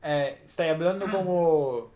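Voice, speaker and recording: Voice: medium pitch, a little slow, mellow, slight background noise. A barista acting as interviewer who chats informally and directs the shoot behind the scenes. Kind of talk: casual behind-the-scenes chat.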